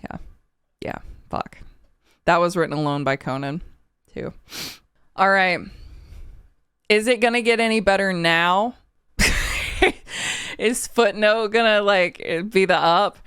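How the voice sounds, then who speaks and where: A woman's voice: mid-range voice, takes it slowly, long pauses, fairly bright tone, clean tone, dry recording, studio quality. A woman with a sniffly cold, reviewing an album in a candid, emotional, casual way and swearing now and then. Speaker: music reaction channel host.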